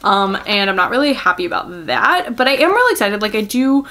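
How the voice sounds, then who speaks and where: A woman's voice: medium pitch, sing-song, fairly quick, pushed and bright. Young woman, mid-range voice, chatty book vlogger, informal, gushing, self-deprecating, filler-heavy. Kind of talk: casual, chatty book talk to viewers.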